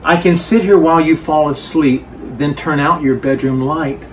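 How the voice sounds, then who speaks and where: A man reading aloud, voicing a gentle, loving father bear in a children's storybook with a tender, soothing delivery. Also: on the high side, normal speed, lightly acted. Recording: distant mic, faint background noise.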